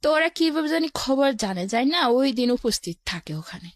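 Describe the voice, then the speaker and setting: A woman with a high voice and a Bangladeshi accent shares personal experience as a guest. She narrates in a plain, colloquial way, one step after another. The sound is dry and close. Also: sing-song, a little fast, no echo. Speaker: guest telling her life story. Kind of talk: personal storytelling in an interview.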